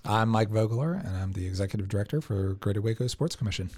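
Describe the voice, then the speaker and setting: Man, lowish pitch, very mellow relaxed tone, interview guest, casual chat, upbeat and promotional. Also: fast. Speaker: interview guest, sports commission executive director.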